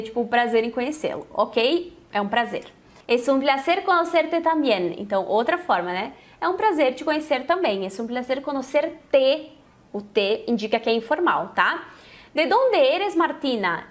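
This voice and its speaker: A Brazilian woman, a native Portuguese speaker, teaching Spanish in an informal, conversational lesson style with frequent check-in tags. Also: fairly high pitch. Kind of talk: informal language lesson, explaining and translating example phrases.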